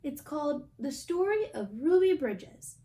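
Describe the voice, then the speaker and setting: A woman's voice: sits high, very animated, a little slow, mellow. A young adult woman reading a picture book aloud as a storybook reader. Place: small indoor room at home.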